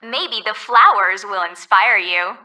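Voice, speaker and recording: A woman's voice: medium pitch, animated, slow pace, sharp tone, full character voice. A woman playing a polite, cheerful resort ferry guide in a video game, reading scripted tour announcements, recorded slightly distant. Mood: cheerful, informative.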